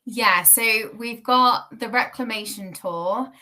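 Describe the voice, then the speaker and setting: A woman's voice: fairly high pitch, slow, pushed and bright. A woman guest on a live video-call podcast, talking casually about her work, laughing often and correcting herself as she goes. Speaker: guest, founder of a communications agency.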